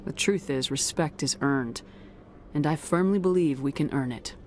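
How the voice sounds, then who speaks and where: An actress playing a composed, diplomatic military commander in a space game, speaking in a measured, assured manner. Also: female voice, low voice, darkish tone, faint music behind, close to an everyday voice.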